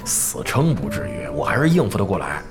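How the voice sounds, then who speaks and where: A man's voice: deep voice, huge pitch swings, brisk. A man playing a brash, boastful veteran gamer in a radio drama, blustering, theatrical and crude. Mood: defensive. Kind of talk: Chinese radio drama acting. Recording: music behind the voice.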